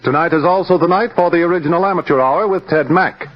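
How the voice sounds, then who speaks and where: A man's voice: a little high, quick pace. A man reading a scripted 1950s radio network promo in a formal announcer's manner. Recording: dull old radio recording.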